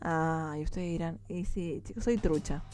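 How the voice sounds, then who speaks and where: A woman's voice: sits low, moderate pace, a little mellow. A woman from Mendoza, Argentina, with an Argentine accent, hosting a morning radio show and chatting directly with her listeners. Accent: Argentine, mixed Mendoza and Córdoba. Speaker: radio host. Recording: music playing behind the voice. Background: music.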